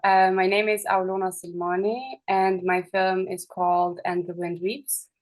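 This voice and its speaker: A woman's voice: medium pitch, level tone, a little slow, faint music behind. A young woman from Kosovo, a film director, talking in an interview with many hesitations and restarts. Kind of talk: festival interview.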